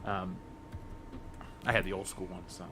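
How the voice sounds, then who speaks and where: A man's voice: sits low, monotone, moderate pace, bright, forceful tone, a little hiss or hum. A man speaking casually as a panelist in an informal discussion. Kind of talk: casual chat. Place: convention panel room.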